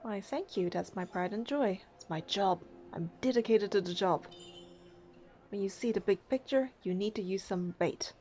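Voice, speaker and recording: A woman's voice: medium pitch, moderate pace, warm tone, slight background noise. A woman doing a game playthrough, reading narration and heroine lines aloud and commenting casually.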